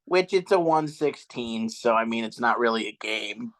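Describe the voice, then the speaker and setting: Young male co-host, laid-back chat, halting and unsure, mid-pitched voice. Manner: relaxed, hesitant, trails off with ums. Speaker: podcast co-host. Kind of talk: casual chat.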